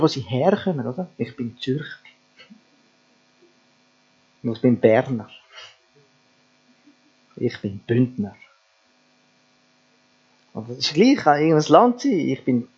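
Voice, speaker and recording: A man's voice: a little high, a little mellow, slightly rough. A man preaching a sermon earnestly, asking his listeners rhetorical questions. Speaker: pastor. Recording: dull lo-fi sound with mains hum.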